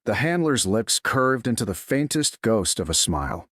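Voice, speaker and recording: A man reading scripted dramatic fiction as a narrator, in a measured, solemn way. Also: on the low side, sing-song, fairly bright tone, no echo, clean studio sound. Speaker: story narrator.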